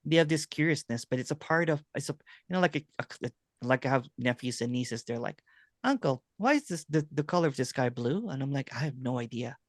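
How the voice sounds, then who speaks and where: A man speaking as a spiritual teacher in a relaxed conversation, often asking "right?" and "you know what I'm saying?". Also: mid-range voice, fairly quick, no echo, clean studio sound. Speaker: non-duality teacher.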